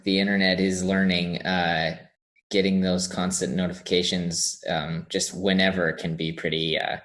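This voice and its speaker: A man hosting a casual interview, speaking informally and in halting phrases. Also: sits low, level tone, fairly bright tone. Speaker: podcast host interviewing a guest.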